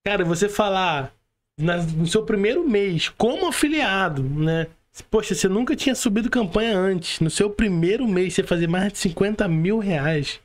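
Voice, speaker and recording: A male Brazilian podcast host with a distinctly high voice. He speaks casually and with emphasis, in an encouraging, slangy way, often tagging his points with little check-in questions. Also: animated, moderate pace.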